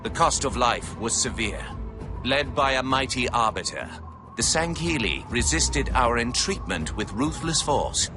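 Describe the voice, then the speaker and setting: An actor playing a solemn alien chronicler narrating history in a video game cutscene. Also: male voice, mid-range voice, animated, a little slow, music in the background, close to an everyday voice. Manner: solemn and pious.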